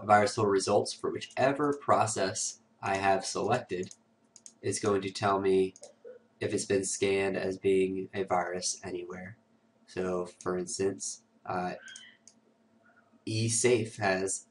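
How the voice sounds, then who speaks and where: A man with a raspy, cold-roughened voice, sniffling now and then, hosting a casual screen-recorded software tutorial full of "uh" and "um". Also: sits low, little pitch movement, unhurried, far from the mic, slight background noise. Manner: casual, filler-heavy walkthrough with many "uh" and "um". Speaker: host of a security tutorial show.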